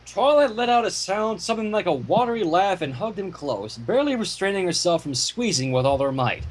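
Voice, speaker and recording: A man reading fan fiction aloud in a theatrical storytelling style, with casual asides and a husky voice. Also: sits high, big pitch swings, a little fast.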